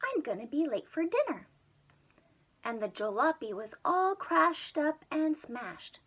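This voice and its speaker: A plucky storybook boy, played by a woman, pitched high and easily flustered. He exclaims and frets in lively swoops. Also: measured pace, exaggerated voice. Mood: fretful. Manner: exclamatory, fretful. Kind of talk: children's storybook read-aloud.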